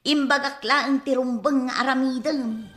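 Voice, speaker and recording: A meddling, defensive neighbour in a radio comedy drama. She has a fairly high voice, an Ilocano accent and a needling way of talking, in a roomy space. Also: steady tone, a little slow, fairly bright tone. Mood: preachy, needling. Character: meddling gossipy neighbour woman, defensive and preachy.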